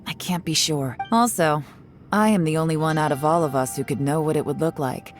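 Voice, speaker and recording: A woman plays a cool, shrewd female investigator in a video game. Her voice is low and measured, with a composed, knowing manner, and faint music sits beneath it. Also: lightly acted. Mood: composed, analytical. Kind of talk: English game dub voice acting.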